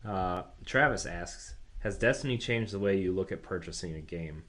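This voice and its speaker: Lowish voiced dude on a games podcast, chill informal chat. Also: male voice, animated, moderate pace, a bit bright. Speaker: gaming podcast co-host. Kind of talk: casual chat, reading listener questions.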